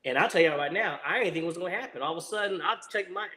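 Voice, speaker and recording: Forceful, bright-voiced veteran man. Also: high voice, very fast. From San Diego, USA. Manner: animated storyteller, laughs often, speaks to the audience. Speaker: guest, Marine veteran. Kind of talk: casual interview chat over a video call. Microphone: video call.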